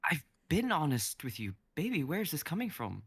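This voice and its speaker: A man's voice: fairly high pitch, very animated, moderate pace, fairly bright tone, husky, dry recording, exaggerated voice. A man reading the part of a defensive young husband who pleads with his wife, in a live-streamed script reading. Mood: pleading, defensive. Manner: pleading, flustered.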